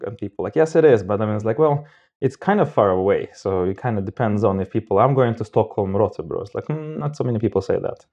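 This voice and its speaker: Man, low pitch, very mellow tone, casual interviewee, halting word choice. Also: lively intonation, highs cut off. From Moldova. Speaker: podcast guest.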